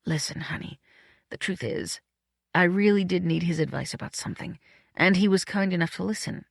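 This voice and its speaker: A smooth, regular-guy studio fixer from a novel , acted out by a woman narrator. He talks in a low, reassuring, slangy way with wry heartiness, in a very dry recording. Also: quick pace, no room sound. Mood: confiding.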